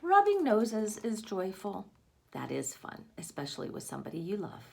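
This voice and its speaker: A woman's voice: medium pitch, huge pitch swings, moderate pace, creaky, a little hiss or hum. A woman reading a picture book aloud to children, expressively, with asides and questions addressed to the young listeners. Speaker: read-aloud storyteller for children.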